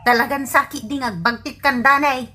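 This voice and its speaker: A woman's voice: sits high, fairly even intonation, normal speed, over loud music, natural voice. A woman playing an exasperated older wife who scolds her husband in a comedy radio drama. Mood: scolding. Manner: sharp, scolding, incredulous.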